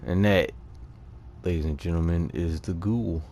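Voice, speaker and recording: Very deep, gravelly man, casual game commentary. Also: a little slow, warm tone, slight background noise. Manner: laid-back, slangy. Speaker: gaming host.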